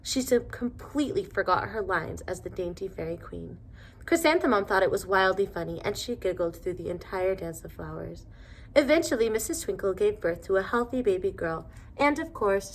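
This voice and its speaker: A woman reading a children's storybook aloud in a deliberate, expressive manner. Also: medium pitch, a little hiss or hum, rough recording. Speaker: storybook reader.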